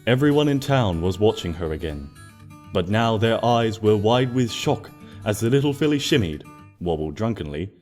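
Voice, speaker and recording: Male narrator, lower register, gentle and expressive storybook read, soft music underneath. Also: moderate pace. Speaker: fan fiction narrator.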